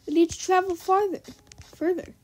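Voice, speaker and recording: A woman's voice: extremely high pitch, normal speed, a little hiss or hum, low-quality recording. Nasal-sounding sick young woman gaming. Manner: chatty, easily excited, talks to viewers. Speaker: Minecraft let's player. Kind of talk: casual gameplay commentary.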